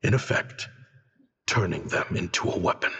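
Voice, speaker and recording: Low, echoing weary scholar. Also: male voice, normal speed, bright, forceful tone, slightly rough, highs cut off, exaggerated voice. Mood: wistful, reflective. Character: weary exiled male scholar inventor recounting his past. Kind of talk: scripted game narration and dialogue.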